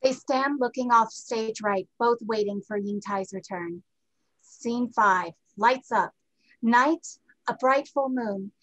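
Female narrator for a staged reading: stage directions, slightly high pitch, home video-call sound. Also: slow pace, fairly bright tone, lo-fi sound. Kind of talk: scripted narration.

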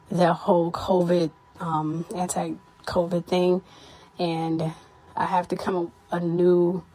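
A tired, stressed woman hosting her own podcast, talking candidly to listeners in a casual personal update, her voice a little hoarse. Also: lowish voice, darkish tone. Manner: candid, weary, stressed, with frequent "you know". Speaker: podcast host.

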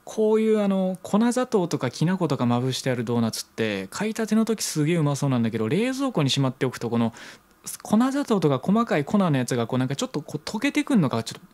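A man's voice: fairly high pitch, very animated, quick pace, darkish tone. A young man from Kansai, co-hosting an internet radio show with casual, rambling chat and playful improvised skits. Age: young adult. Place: small indoor studio room. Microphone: desk microphone with headphones.

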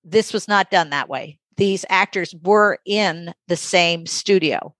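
A mature woman speaking as an interview guest, thoughtful and conversational, halting and reflective as she pauses mid-sentence. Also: fairly low pitch, fairly bright tone, dry recording. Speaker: interview guest, audiobook magazine editor.

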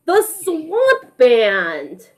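Woman as excited, high-voiced storybook band boys. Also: very slow, full character voice. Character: excited young swamp band musicians, eager boys.

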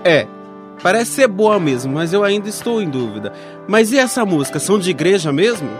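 A man's voice: high voice, sing-song, brisk. An actor playing a brash, suspicious young Brazilian man in a radio soap, talking in challenging slang. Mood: doubtful. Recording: loud music behind voice.

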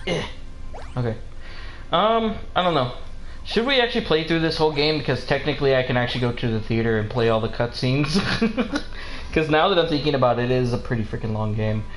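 A man's voice: medium pitch, lively intonation, brisk, slight background noise. A man streaming a video game, chatting casually as he plays. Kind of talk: casual live-stream chat while gaming. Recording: roomy room sound, game music behind. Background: video game music.

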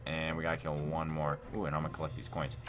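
A man hosting a casual gaming let's play, thinking aloud in an excitable, repetitive way. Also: a bass voice, fast talker, very noisy. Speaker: gaming video host. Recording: music behind the voice.

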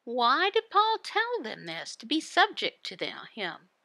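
A woman voicing a Bible devotional, explaining it phrase by phrase in a deliberate manner with pauses. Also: sits high, huge pitch swings, pushed and bright, no room sound. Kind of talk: Bible teaching, voiced devotional.

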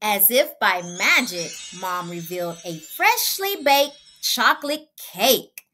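Woman reading kids book out loud, real expressive and animated, takes her time. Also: mid-range voice, soft background music. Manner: expressive, deliberate read-aloud delivery for children. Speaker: children's book reader. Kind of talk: scripted storybook narration.

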